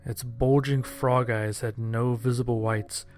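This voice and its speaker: A man narrating a scripted first-person horror-comedy story in a deadpan, sardonic manner. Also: mid-range voice, a little slow, soft background music.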